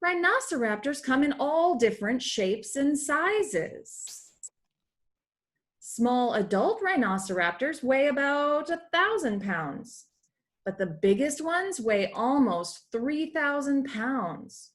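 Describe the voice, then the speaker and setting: A woman voicing comic-book monster characters who talk through math in expressive, emphatic storybook dialogue. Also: high voice, takes it slowly, pitched above the performer's own voice, exaggerated voice. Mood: emphatic explaining.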